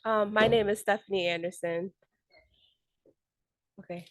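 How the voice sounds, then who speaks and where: A woman's voice: medium pitch, fairly even intonation, measured pace, no room sound, studio quality. A woman in her forties speaking as a school board candidate at a neighborhood meeting. She gives an unprepared, earnest speech that halts often with ums and restarts.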